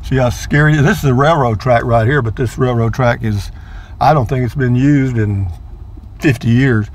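A man's voice: medium pitch, normal speed. An older man, narrating a casual vlog from inside his car, chatty and rambling, repeating words playfully. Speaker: vlogger.

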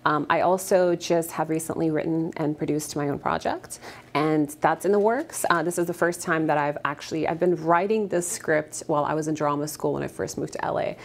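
A woman in her thirties from Afghanistan, with a notably low voice, speaks earnestly and fluently with soft ums. She is a guest on a TV studio set, talking into a lapel mic. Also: a little fast, slight background noise. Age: 30s. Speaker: actress guest. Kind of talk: morning TV interview.

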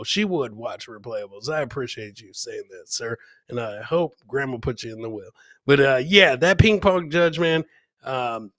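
Bright-voiced man hosting a comedy clip show. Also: mid-range voice, no room sound, studio quality. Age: middle-aged. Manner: chatty, jokey, repeats phrases. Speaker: comedy host. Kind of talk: casual live reaction commentary on viral clips.

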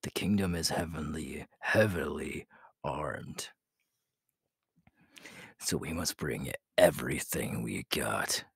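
Guy doing a menacing werewolf alpha for asmr roleplay, super low gravelly voice, slow and cocky. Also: no room sound, studio quality, exaggerated voice. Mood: commanding. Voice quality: gravelly, breathy. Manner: slow, menacing, boastful, often dropping to a whisper. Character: ruthless young male werewolf alpha, menacing, ambitious.

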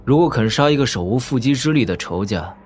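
An actor playing a weary, nonchalant young warrior lord in a Mandarin audio drama, speaking in an unhurried, offhand way. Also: male voice, medium pitch, expressive, a little mellow, slight background noise, natural voice. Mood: weary, unconcerned.

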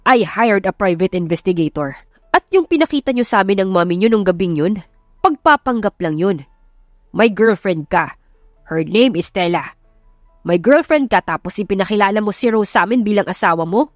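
A woman's voice: mid-range voice, lively intonation, moderate pace, no room sound, studio quality, soft background music, close to an everyday voice. A woman voicing a stern, angry father who feels betrayed, in a romance audiobook mixing Tagalog and English. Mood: angry, accusing. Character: angry, betrayed wealthy father confronting his son. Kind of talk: audiobook romance drama acting.

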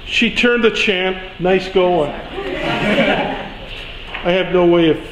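A man's voice: high-pitched, slow pace. A man teaching science through an informal demonstration, giving short instructions in a lecture hall. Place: large lecture auditorium. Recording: echoey lecture hall, some background noise.

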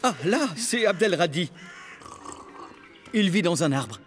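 An actor playing an eccentric, polite middle-aged ex-banker turned forest hermit in a cartoon, speaking formally and sententiously. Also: male voice, sits high, animated, slow pace. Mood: courteous. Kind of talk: animated series dialogue. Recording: music behind the voice.

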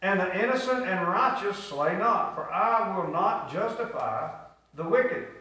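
A man's voice: sits high, lively intonation, a little slow, pushed and bright. A middle-aged man, preaching a sermon and drawing answers from the congregation. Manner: preaching with call-and-response. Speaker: pastor. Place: small church sanctuary. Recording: echoey church from far off.

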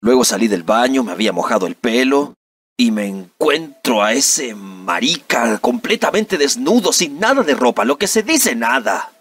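A man's voice: medium pitch, big pitch swings, normal speed, a bit bright, husky, no echo, distant mic. A man dubbing an aggrieved young man in an interview, in neutral Latin American Spanish with indignant, melodramatic film-dub diction. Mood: aggrieved recounting. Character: aggrieved young man recounting a humiliation to reporters. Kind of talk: amateur comedic dub of a news interview.

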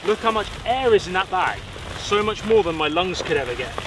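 A man in his thirties from the UK, chatting casually and excitedly to camera while setting up gear outdoors. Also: high-pitched, a little fast. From United Kingdom. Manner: upbeat, chatty, slangy. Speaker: outdoor vlogger. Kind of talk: casual show-and-tell to camera. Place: windy pebble beach outdoors. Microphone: camera mic outdoors. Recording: wind noise on outdoor mic. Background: wind and shore ambience.

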